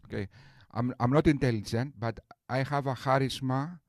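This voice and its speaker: A man's voice: mid-range voice, fairly even intonation, normal speed, a little mellow, no echo, studio quality. Boastful rambling man on a stream. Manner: boastful, rambling with many restarts. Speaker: streamer host. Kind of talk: casual live-stream talk.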